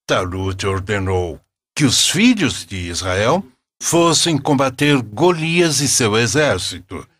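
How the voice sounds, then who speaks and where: A man playing a solemn, kindly grandfather with a Brazilian accent and husky voice, narrating religious stories reverently in a cartoon. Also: mid-range voice, very animated, a bit bright, heavily acted. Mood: solemn storytelling. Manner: measured, reverent. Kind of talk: scripted storytelling narration.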